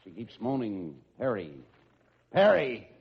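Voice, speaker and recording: A man's voice: mid-range voice, very animated, takes it slowly, creaky, exaggerated voice. An actor playing an earnest, rather dim police lieutenant as the straight man in an old-time radio comedy. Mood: urgent. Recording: muffled noisy radio recording, audience behind.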